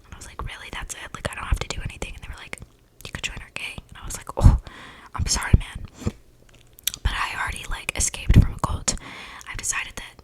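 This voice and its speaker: A woman voicing an eccentric, flippant young vampire in a breathy ASMR whisper, rambling in casual slang close to the microphone. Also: fast, close to an everyday voice, rough recording. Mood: chatty, confiding. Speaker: ASMR roleplayer. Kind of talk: whispered ASMR storytelling roleplay. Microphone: close microphone picking up breaths.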